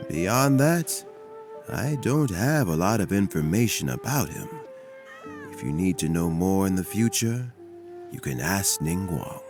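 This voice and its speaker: An actor playing a wise older male official in a game, speaking in a measured, advising way. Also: on the low side, exaggerated voice. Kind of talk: game character dialogue. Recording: game audio with music behind.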